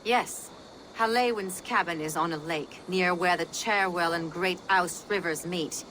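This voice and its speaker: Game role: pragmatic mother, lowish voice, measured and guarded, ambience audible behind. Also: pushed and bright, slight background noise, poor audio. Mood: guarded, firm. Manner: measured, formal phrasing. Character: composed adult mother, herb-wise, guarded, pragmatic. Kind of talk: video game character acting.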